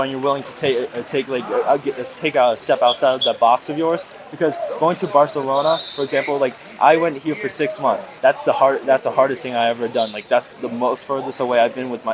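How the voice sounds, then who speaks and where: A man's voice: medium pitch, steady tone, a little fast, hardly any pauses. A fifteen-year-old man from Chicago, talking hesitantly with lots of "uh" and restarts. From Chicago, Illinois, USA. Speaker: student athlete. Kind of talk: casual testimonial interview. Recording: crowd noise behind.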